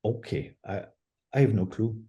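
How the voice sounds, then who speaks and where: An older man with a French accent, a native French speaker, talking as an interview guest in a halting way, often restarting his phrases. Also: on the low side, dark tone, highs cut off. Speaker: guest artist. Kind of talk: casual interview over a call.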